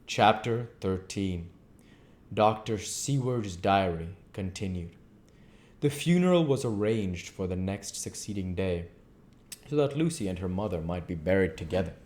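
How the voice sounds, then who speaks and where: A man reading a novel aloud at a measured pace, with occasional slips and restarts. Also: sits low, lively intonation, a little mellow. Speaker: audiobook reader.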